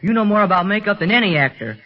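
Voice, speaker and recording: A male performer acts an eager American teenage boy adventurer from 1930s radio. The voice is high and boyish, with earnest, excitable delivery. The recording is dull and band-limited. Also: fast talker. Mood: eager, pleading. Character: eager, plucky fifteen-year-old American boy adventurer.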